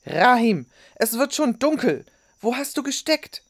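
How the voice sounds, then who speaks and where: A woman's voice: fairly high pitch, big pitch swings, a little slow, no echo, clean studio sound, voice pushed up, heavily acted. Woman playing a stern, scolding old grandmother. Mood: furious. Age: older. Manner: sharp, forceful, scolding.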